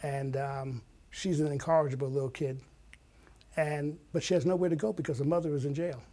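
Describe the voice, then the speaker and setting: Older man, higher-pitched voice, telling stories. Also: steady tone, a little fast, mellow. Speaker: guest, writer and filmmaker. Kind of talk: casual interview storytelling.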